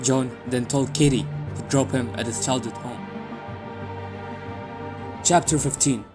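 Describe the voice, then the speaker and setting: A man narrates a scripted fiction story in a mid-range voice. Music plays clearly underneath his reading. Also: mid-range voice, fairly even intonation, a little slow. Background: music bed.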